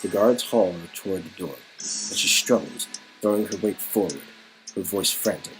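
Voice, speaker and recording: A man's voice: low-pitched, normal speed, poor audio. Man narrating a story over music. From Canada. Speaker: story reader. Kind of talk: narration of an interactive story game. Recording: music under the voice.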